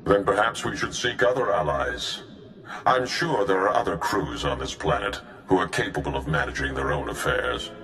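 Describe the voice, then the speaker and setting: A man voicing a cold, disdainful cult commander in a video game, measured and condescending. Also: extremely low pitch, expressive, pushed and bright, heavily acted. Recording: echoing, reverberant voice over music.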